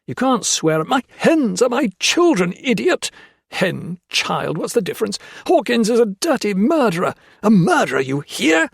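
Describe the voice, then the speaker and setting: A man's voice: a little high, lively intonation, takes it slowly, gravelly, husky, no echo, clean studio sound, pitched above the performer's own voice, full character voice. A man voicing a deranged old male army colonel who screams and hisses abuse. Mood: raving. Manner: shrieking, screaming, hissing.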